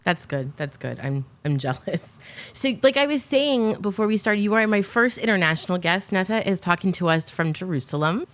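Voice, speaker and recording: A young woman hosts a podcast and chats with a guest over a remote call. Her voice sits low for a woman. She sounds eager and talkative, with plenty of "like" fillers. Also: lively intonation, normal speed. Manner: chatty, enthusiastic, many "like" fillers. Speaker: podcast host. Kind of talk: casual interview chat.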